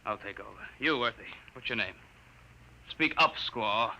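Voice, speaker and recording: An actor playing an arrogant, bullying imperial lieutenant in a 1950s radio drama, barking orders with contempt. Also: male voice, medium pitch, normal speed, bright, forceful tone. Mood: contemptuous. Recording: noisy old radio recording with clicks.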